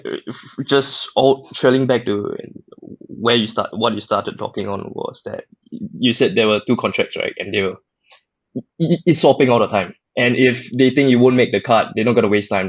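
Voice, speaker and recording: A man's voice: medium pitch, no echo. A man hosting a podcast, checking back on what his guest said and asking follow-up questions. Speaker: podcast host. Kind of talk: casual interview questions. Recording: dry, muffled lo-fi audio.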